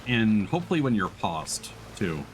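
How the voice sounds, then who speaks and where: Animated low-voiced male streamer. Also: moderate pace, a little hiss or hum. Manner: chuckles through remarks, informal slang. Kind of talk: casual live gameplay commentary.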